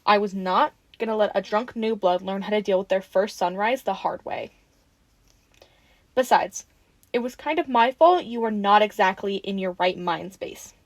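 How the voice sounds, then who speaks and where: A woman acting in an audio roleplay as a friendly, excitable young woman who rambles casually and gets playfully defensive. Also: mid-range voice, normal speed, dry recording, lightly acted. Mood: teasing, friendly.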